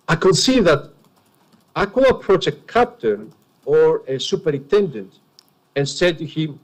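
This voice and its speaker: Greek guy with a heavy accent testifying at a hearing, kinda high voice, earnest and emphatic. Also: poor audio. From Greece. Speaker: witness. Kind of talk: formal testimony at an enquiry.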